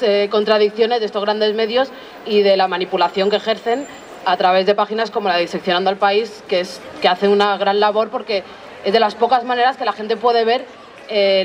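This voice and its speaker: A young adult speaking from the audience at a public street assembly. They explain their points at a measured pace, often pausing on filler sounds. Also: medium pitch. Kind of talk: spontaneous public debate contribution. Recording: outdoor recording with faint street noise.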